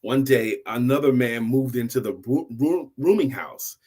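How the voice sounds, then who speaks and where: A man's voice: mid-range voice, animated, unhurried, darkish tone. Middle-aged man telling an emphatic story. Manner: emphatic, repeats key phrases for effect. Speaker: storytelling host, sales coach and speaker. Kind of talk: informal motivational storytelling.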